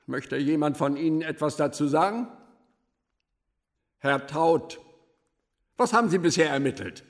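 An actor playing a stern, pompous police chief, speaking formally and officiously in a radio drama. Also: male voice, on the high side, a little slow. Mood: stern, indignant. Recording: long hall reverb.

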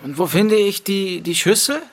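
A man's voice: high-pitched, normal speed. A man playing an uneasy, stubborn thirteen-year-old boy in a radio play, hesitant and guarded.

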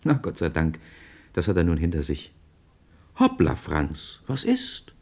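Deep-voiced, knowing storyteller. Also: male voice, wildly swooping pitch, measured pace, a little mellow, slightly rough, heavily acted. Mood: confiding. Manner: conversational, wry, present-tense commentary. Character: knowing, familiar male storyteller following his hero. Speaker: narrator. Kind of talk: scripted radio drama narration.

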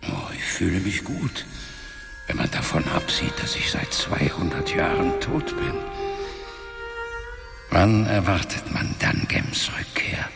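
Ghostly warrior father and mentor: very low, creaky, slow and commanding, music underneath. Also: huge pitch swings, heavily acted. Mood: stern, wry. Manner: measured, commanding. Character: dead father speaking from a book, stern ancient warrior mentor. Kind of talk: German fantasy radio drama acting.

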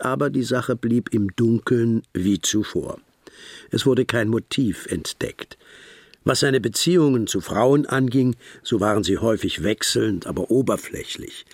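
A man narrating a German radio drama as a detective's companion recalling a case, in a measured, literary storytelling manner. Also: mid-range voice, a little mellow, no echo, studio quality.

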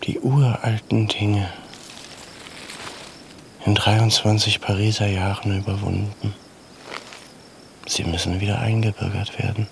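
A thoughtful scholarly dad in a radio play, low gravelly guy, bit strict, faint insect ambience behind. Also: fairly even intonation, background noise. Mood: brooding. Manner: slow, halting, introspective. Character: pensive, scholarly Armenian father in his thirties, gently strict. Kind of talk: radio drama acting.

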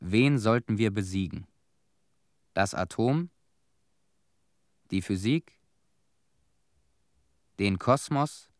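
An actor playing a haunted disaster cleanup veteran in a radio play, telling his story in short, measured, somber phrases. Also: fairly low pitch, lively intonation, dry recording, clean studio sound. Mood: somber, bitter. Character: haunted Chernobyl cleanup veteran, a man bearing witness.

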